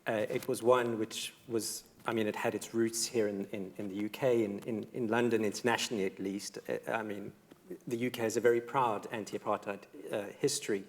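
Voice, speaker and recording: A man from South Africa speaking reflectively and haltingly as a panelist in a discussion. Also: on the low side, steady tone. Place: radio theatre stage, live debate. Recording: very reverberant theatre hall.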